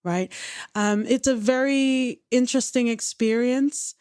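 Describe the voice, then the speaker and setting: A woman's voice: a little high, steady tone, unhurried, darkish tone, clear, resonant tone, no room sound, clean studio sound. A woman co-hosting a podcast, talking earnestly and openly about her feelings with some wry humor. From Australia. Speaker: podcast co-host. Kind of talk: reflective casual chat.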